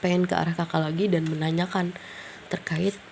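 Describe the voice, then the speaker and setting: A woman reads tarot cards in a casual, unhurried way, her voice low and gravelly with a fried edge. A cold has left her stuffy and nasal. She muses aloud with plenty of hesitant fillers, and a faint hiss sits under the recording. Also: little pitch movement, a little mellow. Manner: musing, thinking aloud with frequent fillers; mixes in English words. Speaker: tarot reader.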